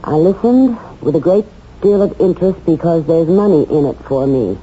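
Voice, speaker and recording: Actress as excited woman reader, muted old radio sound. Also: lowish voice, moderate pace, lightly acted. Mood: reading aloud intently. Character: nervous, excited reader of an incriminating diary. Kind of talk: 1970s radio drama acting. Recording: dull, noisy old radio recording.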